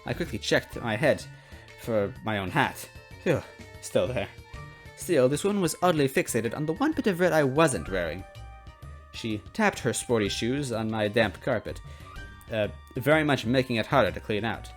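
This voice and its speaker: Guy reading noir detective story out loud, expressive, kinda stumbly, bg music. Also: medium pitch, moderate pace. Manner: self-interrupting, stumbling over lines. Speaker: story reader and host. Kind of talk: semi-dramatic noir narration with casual asides.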